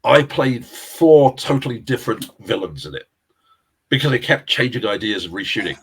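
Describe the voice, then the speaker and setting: A middle-aged man with a South London English accent tells stories in a loose, rambling way, breaking into laughs and the odd swear word. His voice is husky and slightly breathy, at a middle pitch for a man. It sounds like a casual interview over a video call. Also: expressive, moderate pace, rough recording. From England. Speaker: interview guest, casting director and actor. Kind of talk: casual chat.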